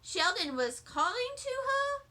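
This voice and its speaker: A woman reading a children's story aloud as a teacher would, in an expressive, childlike narration. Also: extremely high pitch, slow pace.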